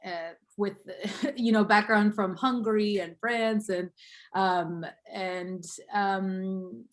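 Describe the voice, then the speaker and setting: A woman's voice: medium pitch, fairly even intonation, slow. A woman in her thirties from Boston, telling her life story as an interview guest, reflective and full of fillers and small laughs. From Boston, USA. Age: 30s.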